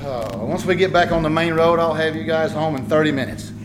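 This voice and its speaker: Man acting an exasperated radio sitcom dad, high-pitched. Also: fairly quick, natural voice. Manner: grumbling, sarcastic, hesitant openers. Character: exasperated, skeptical middle-aged family father driving lost. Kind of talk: 1950s radio family comedy acting, performed live. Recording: echoey, noisy live stage recording.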